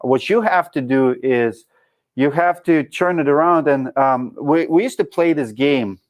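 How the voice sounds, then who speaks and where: A man's voice: fairly high pitch, measured pace. A man in his thirties with a Russian accent, hosting a casual livestream and coaching viewers in a frank, blunt way. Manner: frank, coaching, drops articles. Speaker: show host reading live chat.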